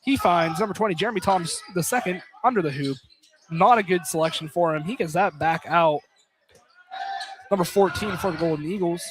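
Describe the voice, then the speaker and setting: A man's voice: high voice, fairly quick. A man doing live play-by-play basketball commentary on radio. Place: college basketball field house. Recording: clearly noisy live broadcast.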